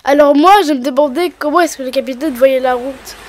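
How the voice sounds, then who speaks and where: A woman's voice: sits high, brisk, lightly acted. A woman voicing a curious, easily worried little girl in a children's cartoon.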